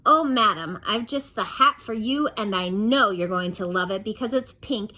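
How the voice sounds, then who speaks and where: A woman's voice: mid-range voice, bright, forceful tone, pitched above the performer's own voice. A woman voicing a cheerful young chatterbox who gushes in breathless run-on sentences. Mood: gushing. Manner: gushing, rushing run-on chatter.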